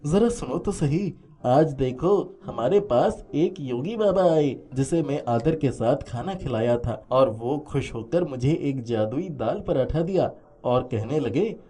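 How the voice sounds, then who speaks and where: An earnest, humble village stall keeper from a cartoon fable, played by a man. The voice is mellow and soft-edged, honest and plain. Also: medium pitch, animated, a little slow, close to an everyday voice. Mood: excited. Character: humble, honest poor food-stall owner, devout husband. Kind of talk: animated Hindi moral story acting.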